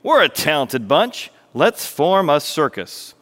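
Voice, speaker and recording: In a reverberant hall, a young circus ringmaster, played by a man storyteller projects loudly and high. He is bombastic and demanding, a theatrical storybook perfectionist who proclaims and barks. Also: sing-song, slow pace, heavily acted. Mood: eager. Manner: theatrical, booming proclamations and barked orders. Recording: echoey hall with long reverb.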